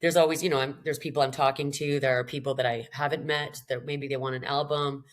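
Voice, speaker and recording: A middle-aged Canadian singer-songwriter woman with a slightly raspy voice, talking candidly in a podcast interview, rambling and self-deprecating. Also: extremely low pitch, fairly even intonation, quick pace. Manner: rambling, self-deprecating, frequent laughs and restarts.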